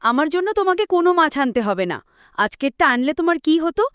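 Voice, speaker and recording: A woman plays a demanding cartoon village wife with a high voice and swooping intonation. The sound is very dry. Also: sing-song, fast talker, a bit bright, dry recording. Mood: scolding. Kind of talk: cartoon voice acting.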